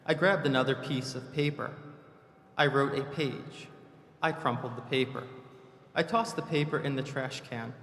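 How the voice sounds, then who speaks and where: A man's voice: medium pitch, fairly even intonation, moderate pace, fairly bright tone. A man reading his own short poems live, with brief introductions and short, clipped phrases. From New Jersey, United States. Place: large reverberant room, live event. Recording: echoey hall with long reverb.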